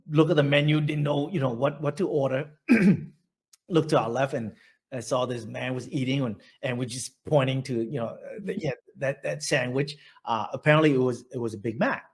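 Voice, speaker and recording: A man's voice: mid-range voice, moderate pace, husky. A middle-aged man from Vietnam with a Vietnamese accent, telling his life story in an oral history interview, rambling, with many restarts and fillers.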